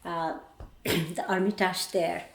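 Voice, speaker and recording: An older woman from Bangladesh with a Bangladeshi accent, reflecting on her life as a painter in a thoughtful, halting way with pauses. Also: lowish voice, level tone, vocal fry. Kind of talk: reflective personal interview. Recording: roomy, echoey indoor sound.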